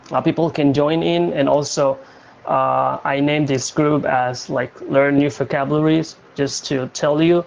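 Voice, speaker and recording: A young man from Indonesia hosting a casual livestream chat, talking in a halting way with many fillers and restarts. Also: a little high, vocal fry, a little hiss or hum, low-quality recording. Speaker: livestream host.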